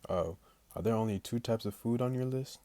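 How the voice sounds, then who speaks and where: A young man hosting a gaming video, reading visual novel lines aloud in a casual, halting way. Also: lowish voice, mellow, no echo. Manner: halting, stumbling reader.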